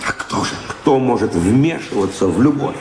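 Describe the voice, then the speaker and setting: An actor playing a restless, passionate wanderer in a radio play, tormented by love and longing, with gravelly, poetic, declamatory delivery. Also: male voice, medium pitch, animated, moderate pace, background noise, close to an everyday voice. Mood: anguished, pleading. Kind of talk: theatrical radio drama acting. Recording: roomy echo, music underneath. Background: music.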